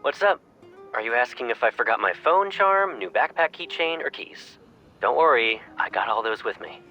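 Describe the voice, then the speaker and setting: A man acts out a young male pilot cadet, affectionate and teasing, in a romantic game story. His voice is mid-pitched for a man, with music behind it. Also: animated, normal speed, dull audio, lightly acted. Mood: reassuring, teasing. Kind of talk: romantic game fan dub acting.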